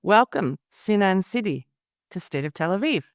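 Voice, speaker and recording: A middle-aged woman hosting a podcast, speaking in measured, deliberate, emphatic phrases as she introduces and questions. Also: mid-range voice, animated, dry recording, studio quality. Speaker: podcast host and interviewer. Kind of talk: scripted introduction and formal interview questions.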